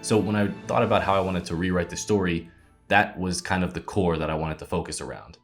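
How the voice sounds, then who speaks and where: A man's voice: deep voice, brisk, soft background music. A man speaking as an author about his own creative work, enthusiastic and reflective. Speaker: author of a retold children's book. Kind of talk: behind-the-scenes talk about own work.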